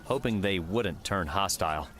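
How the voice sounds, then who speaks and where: A man's voice: sits low, animated, normal speed, a bit bright. A man reading scripted audiobook narration as the storyteller.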